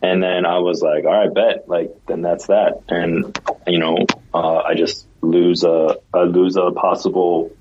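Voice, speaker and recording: A man's voice: low voice, flat delivery, measured pace, darkish tone, lo-fi sound, a little hiss or hum. A man co-hosting a casual podcast, rambling along with lots of "like" and restarts. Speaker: podcast co-host.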